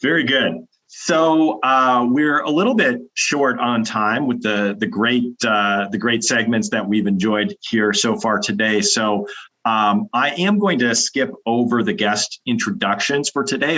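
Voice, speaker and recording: A man's voice: fairly low pitch, unhurried, sharp tone. A man hosting a virtual club meeting, upbeat and welcoming, with frequent fillers. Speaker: meeting host. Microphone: video call. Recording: dull lo-fi video call audio.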